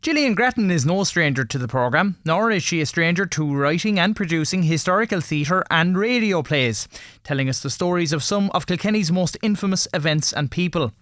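A man's voice: fairly high pitch, lively intonation, fairly quick, fairly bright tone, no echo, clean studio sound. A man with an Irish accent presenting a radio programme from a script, linking between segments as its host.

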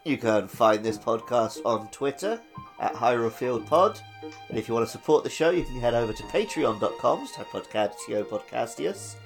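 Male co-host, medium pitch, informal gaming podcast talk, light music behind. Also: expressive, normal speed. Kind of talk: casual gaming podcast chat.

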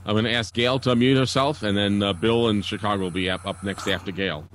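A man with a somewhat low voice moderates a group conversation. His tone is conversational. Faint noise sits behind his voice. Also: steady tone, fast. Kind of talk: casual moderating.

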